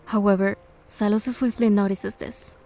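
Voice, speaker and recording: An actress playing a curious young woman who narrates her date in a game, gentle, measured and playful. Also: medium pitch, steady tone, soft background music, close to an everyday voice. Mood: tender, narrating. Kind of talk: scripted first-person game narration and dialogue.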